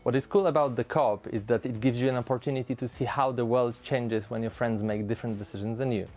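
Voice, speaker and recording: A man's voice: medium pitch, steady tone, fast talker, a little mellow, soft background music. A man speaking as a game developer, giving prepared answers in a promotional interview.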